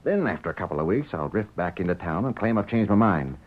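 An actor playing a scheming, surly Western villain with an American accent, curt and bossy, in a 1930s radio drama. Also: male voice, deep voice, sing-song, quick pace, husky, exaggerated voice. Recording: tinny, band-limited 1930s radio recording.

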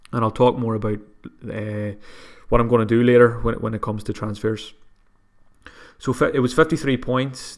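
A man hosting a solo podcast, talking casually and a bit sniffly from a cold, with frequent restarts and self-deprecating asides. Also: mid-range voice. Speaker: podcast host. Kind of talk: solo fantasy football podcast.

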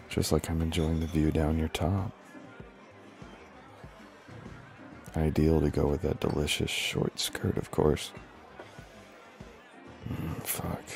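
Flirty, commanding former professor, acted by a man: extremely deep, creaky, breathy delivery for intimate ASMR roleplay. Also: a little mellow, exaggerated voice. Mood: seductive. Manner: breathy, murmured, teasing, often dropping to near-whisper.

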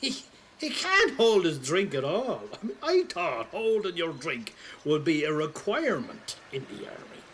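An actor playing an older Irish pensioner, roguish and callous, telling a rambling, sweary, wry anecdote in a casual dramatic monologue. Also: male voice, a little high, wildly swooping pitch, unhurried, fairly bright tone, slightly rough, exaggerated voice. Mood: wry storytelling. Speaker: storyteller.

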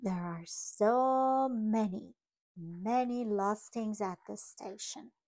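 A woman reading a picture book aloud to young children, in simple, deliberate phrases as a storytime host. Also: mid-range voice, a little mellow.

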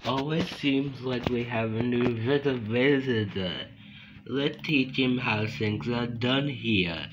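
A gleeful, threatening animatronic chicken mascot from a horror game, as a man voices her in a plain mid-range voice. She talks slowly and stutters through her taunts. Loud keyboard typing and clicking run under the voice. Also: medium pitch, muffled recording, noisy background, natural voice. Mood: creepy welcoming. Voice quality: stutters on words, drawn out. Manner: slow, taunting delivery. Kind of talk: fan-made game character voice lines.

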